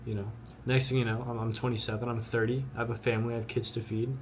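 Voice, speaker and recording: A young man of twenty talks to the camera with blunt, earnest conviction, often repeating words as he pushes his point. His voice sits at an ordinary middle pitch. A faint hum of ambience runs behind him. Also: little pitch movement, fast talker. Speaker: vlogger. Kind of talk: motivational talk to camera.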